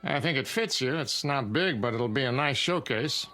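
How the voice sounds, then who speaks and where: An actor playing a dry, blunt, middle-aged studio boss in a mid-century television drama, terse and unimpressed. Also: male voice, mid-range voice, animated, moderate pace, bright, forceful tone. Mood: reasonable. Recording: vintage TV soundtrack with music.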